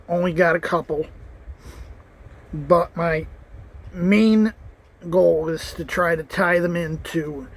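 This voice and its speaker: A man's voice: on the high side, low-quality recording. A man talking casually to camera in an earnest, rambling way, with restarts and asides. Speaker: vlogger.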